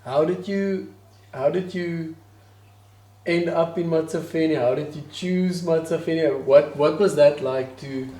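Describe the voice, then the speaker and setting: A man's voice: a little high, a little slow, dark tone, roomy sound. A man hosting a home interview, asking questions in a hesitant, searching way. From South Africa. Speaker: host. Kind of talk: casual interview. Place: his own house.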